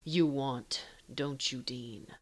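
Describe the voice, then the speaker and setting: A calm, commanding male lover from an audiobook romance, voiced by a woman. The voice sits very low and soft, with slow, formal phrasing. He teases with quiet control and unhurried seduction. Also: exaggerated voice. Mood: low, commanding, teasing. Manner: formal, measured, softly teasing.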